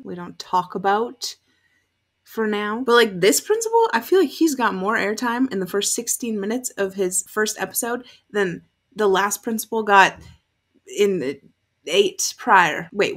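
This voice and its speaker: Female, young adult. Reaction host, informal running commentary, mid-range voice, swears freely. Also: mid-range voice. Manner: sweary, chatty. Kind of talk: casual, candid TV reaction commentary. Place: bedroom, indoors.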